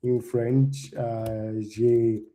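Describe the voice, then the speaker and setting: A young man from Nigeria, English not his first language, walks an audience through a live demo in a loose, chatty way. His voice is mellow and warm, sitting at a middling pitch. He keeps checking in with a quick "right?" after nearly every point. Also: little pitch movement, low-quality recording. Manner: loose and conversational, tags "right?" often. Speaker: conference speaker.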